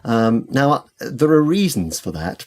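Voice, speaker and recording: A man with a medium-pitched voice speaks as an expert guest in a conversational interview. He explains with enthusiasm, often hesitating and then repeating words for emphasis. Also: very animated, unhurried, a little mellow. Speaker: literary expert guest, author and editor.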